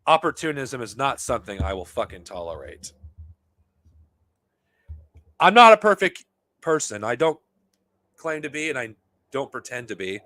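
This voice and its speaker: A man hosting a podcast, talking off the cuff to his viewers in a heated, profane, rambling way, his voice getting worn and hoarse. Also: medium pitch, big pitch swings, moderate pace, long pauses, fairly bright tone. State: losing his voice. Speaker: podcast host. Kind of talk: unscripted monologue to viewers.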